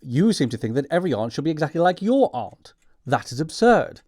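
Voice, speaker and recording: An actor playing an earnest, easily flustered young gentleman, proper and emphatic, with an upper-class English accent. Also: on the high side, sing-song, brisk. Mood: defensive, exasperated. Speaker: actor in an audiobook production. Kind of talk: stage comedy acting.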